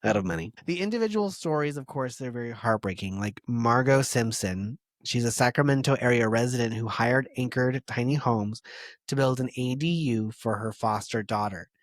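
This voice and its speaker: A man co-hosting a podcast, chatting casually and reading from his notes with easy laughs. His voice is mid-pitched and sits in a dry, dead room. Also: moderate pace, no echo. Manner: conversational, laughs easily, reads quotes aloud. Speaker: podcast co-host. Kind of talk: casual chat reading a story.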